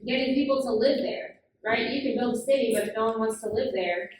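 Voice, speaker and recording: A woman's voice: on the high side, fairly quick. A woman teaching a children's Bible class, asking the kids questions patiently with frequent "um" fillers. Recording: very echoey room, far from the mic, noisy.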